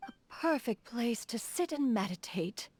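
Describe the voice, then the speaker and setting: An actress playing a dignified, serene female immortal in a video game, speaking in a refined, deliberate way. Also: on the high side, animated, darkish tone, no echo. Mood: serene, curious. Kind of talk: measured video game character dialogue.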